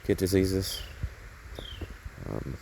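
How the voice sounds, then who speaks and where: A man's voice: low-pitched, animated, drawn-out words, gravelly, background noise. A man casually narrating hands-on garden work outdoors, rambling and thinking aloud as he goes, recorded on a handheld camera. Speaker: gardening vlogger.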